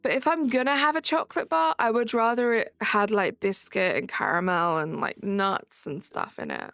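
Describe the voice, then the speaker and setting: A woman's voice: medium pitch, normal speed, vocal fry, fairly bright tone, dry recording, studio quality. A young woman live-streaming a game, chatting casually and answering viewers in chat as she plays. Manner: chatty, reads and answers chat while playing. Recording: faint background music.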